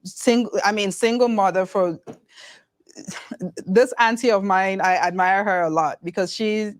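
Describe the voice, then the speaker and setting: A woman's voice: medium pitch, fairly even intonation, unhurried. A woman hosting a podcast, asking her guest questions in a loose, rambling way and repeating words as she works out what to ask. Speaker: podcast host. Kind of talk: casual interview.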